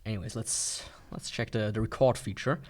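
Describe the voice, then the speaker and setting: A man's voice: on the low side, steady tone, moderate pace. A man giving a casual, unscripted product review in a rambling, informal, skeptical way. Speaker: gadget reviewer.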